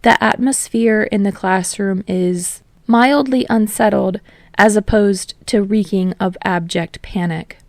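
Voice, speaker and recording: A woman's voice: medium pitch, unhurried, dry recording. A woman reading fiction aloud as a scripted story narrator.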